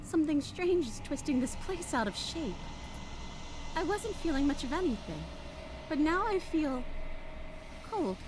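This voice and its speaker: A woman's voice: sits high, sing-song, a little slow, pauses often, noisy background. A woman playing a wounded, cruel princess in a visual novel game, sweetly menacing and morbidly amused. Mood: weakened.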